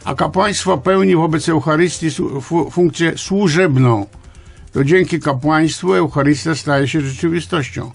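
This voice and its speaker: An older man, a priest, answering in a radio interview in a deliberate, halting, didactic way. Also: on the high side, creaky. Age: older, around 70. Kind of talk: interview answers, explaining church liturgy. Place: small radio studio, indoors. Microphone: studio microphone on boom arm.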